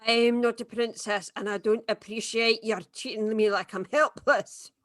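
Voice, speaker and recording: A woman's voice: fairly high pitch, steady tone, normal speed, a bit bright, no echo, clean studio sound, lightly acted. A woman playing a tipsy, cantankerous older woman in a drama table read, blunt and indignant with muddled words.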